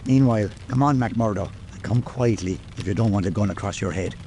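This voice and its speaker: Casting: stern police captain played by a man, mellow lowish voice, curt commands, audiobook drama, some background noise. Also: brisk, lightly acted. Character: stern, unyielding male police captain on duty. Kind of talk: audiobook character acting.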